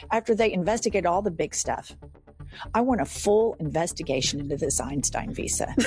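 A woman's voice: on the low side, darkish tone. A woman in a heated political chat, profane, indignant and emphatic. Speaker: political commentator.

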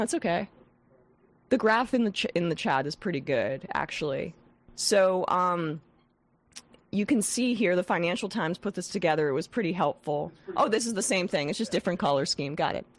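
Woman host with a head cold and vocal fry. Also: fairly low pitch. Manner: animated, scathing, with frequent restarts. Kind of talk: political talk show commentary.

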